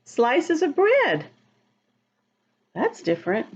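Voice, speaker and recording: Slow, animated woman reading to children. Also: high voice, lo-fi sound. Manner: teacherly, explains words and asks questions; expressive, sing-song on the rhymes. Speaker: picture-book reader for children. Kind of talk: read-aloud storytime with asides to children.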